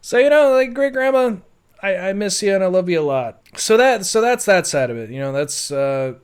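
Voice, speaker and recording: A man with a smooth, clear voice pitched a little high records a personal audio blog. He talks casually and conversationally, looping back over his thoughts with plenty of "like" and "you know" as he goes. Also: lively intonation, normal speed. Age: adult. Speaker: podcaster.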